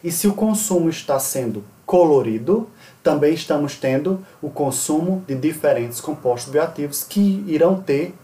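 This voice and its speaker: A man in his thirties from Brazil, giving a recorded online conference lecture in a steady, explanatory way and sometimes correcting himself mid-word. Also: fairly high pitch, normal speed, recorded from a distance. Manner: steady explanatory delivery with occasional self-corrections. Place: small indoor room. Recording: roomy echo, voice off-mic.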